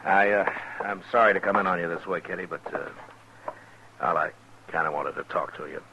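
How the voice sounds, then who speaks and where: An actor playing a steady, stern American frontier marshal in a 1950s western radio drama, plain-spoken and firm. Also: male voice, sits low, fairly quick. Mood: hesitant, earnest. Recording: dull, noisy 1950s radio recording.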